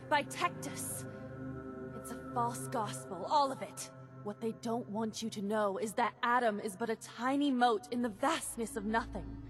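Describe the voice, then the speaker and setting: Video game heretic cultist: high voice, zealous, solemn, dramatic pauses, music behind. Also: female voice, fairly bright tone. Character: fervent exiled female heretic cultist preaching nothingness.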